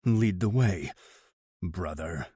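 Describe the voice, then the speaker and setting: A man's voice: low-pitched, lively intonation, takes it slowly, dark tone, breathy edge, dry recording, clean studio sound, full character voice. A man voicing a furious, betrayed man who snarls and then turns icy.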